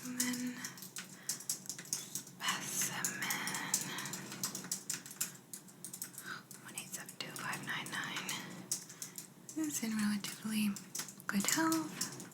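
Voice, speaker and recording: A woman voicing a calm, politely superior alien examiner in an ASMR sci-fi roleplay, in a soft breathy whisper with a gentle, musing manner. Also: fairly high pitch. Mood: clinical. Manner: slow, gentle, hesitant musing. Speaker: ASMR performer.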